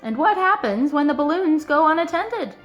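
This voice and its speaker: Animated, high-voiced woman reading a storybook. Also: lo-fi sound, faint music behind. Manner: animated, emphatic, drawn-out key words. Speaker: storybook reader. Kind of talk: expressive read-aloud of a children's picture book.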